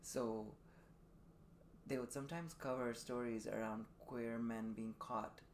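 A man in his thirties from Dubai, a podcast guest telling his personal story reflectively in thoughtful, measured phrases. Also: mid-range voice, fairly even intonation. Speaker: podcast guest, performing artist. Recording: faint room noise behind the voice.

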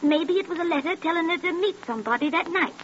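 High-voiced gossipy landlady, old radio. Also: fast talker, fairly bright tone. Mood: confiding. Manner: gossipy, indignant. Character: talkative, defensive working-class landlady of a boarding house. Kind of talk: 1930s radio drama acting. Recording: noisy, dull 1930s radio recording.

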